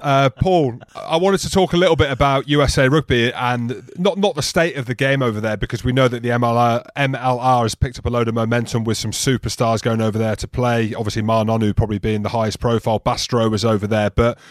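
A man's voice: medium pitch, no echo, clean studio sound. A man co-hosting a podcast, asking questions in a chatty, joking manner. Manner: chatty, jokey. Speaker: podcast co-host. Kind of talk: casual podcast chat.

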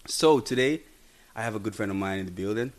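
A man's voice: lowish voice, fast talker. A man hosting an upbeat podcast interview, talking enthusiastically and chiming in with agreement. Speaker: podcast host.

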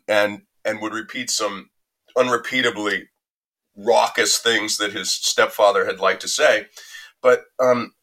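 Bright-toned man, reflective interview guest. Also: fairly low pitch. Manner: reflective, anecdotal, measured. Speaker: interview guest recounting a reporting trip. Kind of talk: conversational interview answer.

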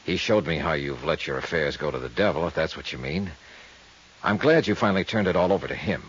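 A male actor with an American accent playing a cool, steady insurance investigator in a 1950s radio detective drama. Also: very deep voice, a little fast, breathy edge. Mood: calm, reassuring. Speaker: lead actor and narrator of a radio detective serial. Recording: muffled 1950s radio recording.

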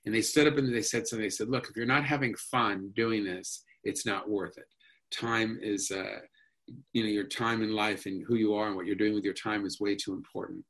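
Male actor guest, low voice with a bright edge, rambling and eager. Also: steady tone, a little fast, low-quality recording. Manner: rambling, enthusiastic, many restarts and fillers. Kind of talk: casual interview talk.

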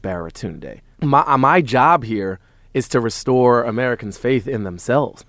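A man hosting a podcast in casual chat, telling stories in a wry, joking, animated way. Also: medium pitch, unhurried, no room sound, clean studio sound. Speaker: podcast host.

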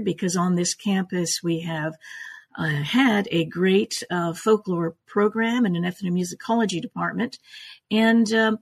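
An older woman from Canada, born in Italy, answering reflectively as an interview guest, halting as she thinks aloud. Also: mid-range voice, gravelly, husky. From born in Italy, raised in Canada. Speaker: guest, folklorist and oral historian.

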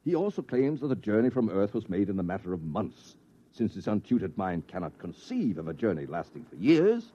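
An actor in an old radio drama playing a pompous, condescending professor who lectures pedantically, on an old recording. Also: male voice, on the low side, big pitch swings, fairly quick, warm tone, dull audio, slight background noise. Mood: smugly lecturing.

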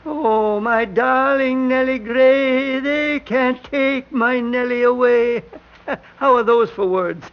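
Batty old lift man, really high slow voice, stammery, stubborn, old radio play. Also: full character voice. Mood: playful, gleeful. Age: older. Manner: stammering, singsong muttering to himself. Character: crazy old elevator operator, stubborn, fond of his lift. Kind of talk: classic radio drama acting. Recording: dull, noisy old radio recording.

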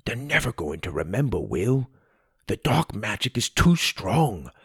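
A man voicing an excitable, cheeky young male fairy friend, drawn-out and wondering. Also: on the low side, husky, no room sound, clean studio sound, exaggerated voice. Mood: grave.

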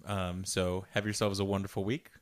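Low, smooth-voiced male podcast co-host. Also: level tone, normal speed, no room sound. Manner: laid-back, agreeable, brief replies. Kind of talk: casual chat.

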